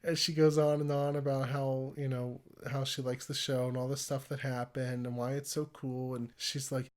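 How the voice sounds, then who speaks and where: A man hosting a podcast, sounding congested from a cold, telling stories in a rambling, animated way. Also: medium pitch, normal speed. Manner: rambling, animated storytelling with laughs. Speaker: podcast host. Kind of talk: casual conversational podcast.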